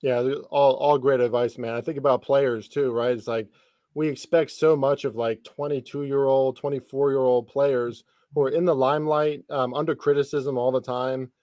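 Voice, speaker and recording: A man in his thirties hosts a casual sports podcast. His voice is mellow and relaxed, recorded close and dry. He talks informally, with slang and the odd swear word. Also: mid-range voice, moderate pace, dry recording. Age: 30s. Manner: informal, lightly profane slang. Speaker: podcast host interviewing a guest.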